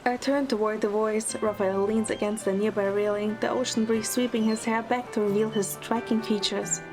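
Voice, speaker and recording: A woman's voice: mid-range voice, flat delivery, moderate pace, natural voice, rough recording. A woman playing a playful, teasing young heroine who narrates her story in an audio drama, in the first person. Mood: gentle narration. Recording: music under the voice. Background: background music.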